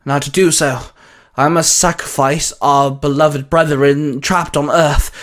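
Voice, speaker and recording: A man voicing a power-mad doctor tyrant with theatrical, drawn-out proclamations in a fan fiction story. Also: on the high side, steady tone, a bit bright. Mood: grandiose command.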